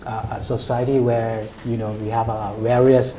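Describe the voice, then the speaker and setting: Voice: mid-range voice, level tone, moderate pace, warm tone, slight background noise. An interviewer who hosts the talk and murmurs agreement often. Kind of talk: interview hosting. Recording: echoey room, faint ambience.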